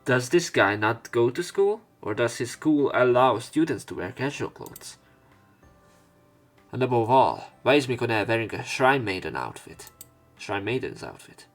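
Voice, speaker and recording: A man's voice: medium pitch, sing-song, unhurried. A man doing let's play commentary, reading game text aloud and adding informal, rambling, hesitant remarks. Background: soft game music.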